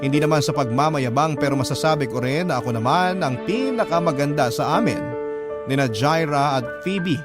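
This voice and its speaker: A man with a fairly high voice reads a scripted first-person story for radio drama. Clear instrumental music plays behind his narration. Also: animated, a little fast.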